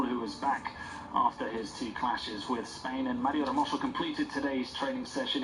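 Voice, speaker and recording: A man giving a live sports news report as a correspondent. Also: medium pitch. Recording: clear background noise on report.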